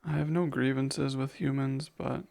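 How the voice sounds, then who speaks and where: A man playing a gentle, protective vampire caretaker in a soft ASMR roleplay, soothing and a little hesitant, with formal wording. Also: medium pitch, flat delivery, measured pace, creaky. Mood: gentle, reflective.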